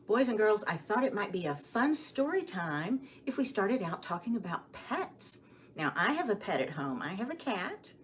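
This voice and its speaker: A woman hosting a story time for young children, speaking to them with questions and expressive emphasis as she shares rhymes and picture books. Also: mid-range voice, moderate pace.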